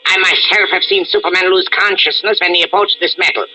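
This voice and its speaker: An actor playing a proud Nazi scientist in a radio drama, speaking in a formal, lecturing way. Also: male voice, high-pitched, fairly even intonation, brisk, bright, forceful tone, a little hiss or hum, poor audio. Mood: grave, boastful. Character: elusive villainous Nazi scientist, proud and coldly certain. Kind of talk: old-time radio drama acting.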